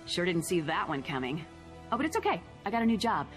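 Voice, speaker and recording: A woman's voice: on the low side, very animated, quick pace. An actress playing a nosy, gossipy woman neighbor who narrates game cutscenes in a chatty, teasing way. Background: music.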